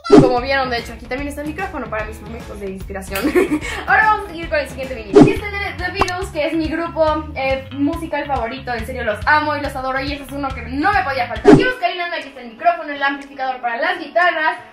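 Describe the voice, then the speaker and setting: High-voiced bubbly young woman vlogger. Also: lively intonation, fast talker, few pauses, recorded from a distance. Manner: bubbly, chatty, often laughing. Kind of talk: casual house tour vlog. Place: large house indoors, rooms with hard surfaces. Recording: echoey rooms with music underneath.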